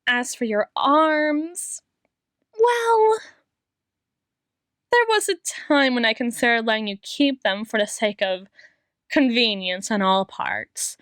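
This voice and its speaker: A woman performs an obsessive lady doctor in an intimate ASMR audio roleplay. Her voice is high and unhurried, captured close and dry. She is theatrical and coaxing, sweetly possessive with a menacing devotion, leaving lingering pauses. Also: expressive, a bit bright, no echo. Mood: wistful, menacing.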